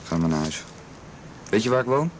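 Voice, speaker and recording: A man's voice: on the low side, big pitch swings, fairly quick. A man playing an earnest, protective young friend in a radio drama, urging and reassuring with insistence. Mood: relieved, practical. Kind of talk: naturalistic radio drama acting. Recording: noisy old radio drama recording.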